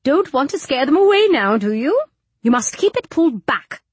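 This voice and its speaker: A woman voicing a stern, fussy older matron, raised pitch. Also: very animated, moderate pace, no room sound. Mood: brisk, critical. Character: scowling bony strict older matron, fussy and critical. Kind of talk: audiobook character dialogue.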